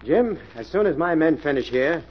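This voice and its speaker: An actor with an American accent playing a stern, authoritative police inspector in a 1940s radio drama. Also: male voice, fairly high pitch, lively intonation, natural voice. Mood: firm, skeptical. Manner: brisk, authoritative, declamatory. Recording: muffled old radio recording.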